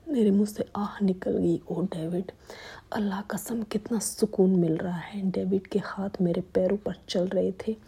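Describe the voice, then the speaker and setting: A young woman narrating a story in a steady hushed whisper. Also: fairly low pitch, gravelly, slightly rough.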